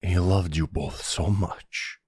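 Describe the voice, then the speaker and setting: A charming, protective mobster boyfriend, acted by a man for an ASMR roleplay. His voice is extremely low and husky, tender with a dangerous edge. He takes his time with every line, recorded dry and close. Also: steady tone, slow, darkish tone, no room sound, heavily acted. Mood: somber, confessional. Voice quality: gravelly, husky.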